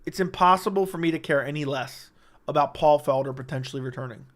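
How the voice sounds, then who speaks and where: A man hosting a casual livestream, reading chat questions aloud and answering them in a joking way, his voice slightly raspy and worn. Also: a little high, quick pace, fairly bright tone.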